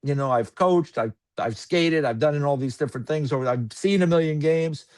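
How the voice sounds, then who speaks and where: A man who calls hockey games, chatting casually on a podcast in a rambling, filler-heavy, animated way. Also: fairly high pitch, brisk. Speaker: hockey broadcaster guest.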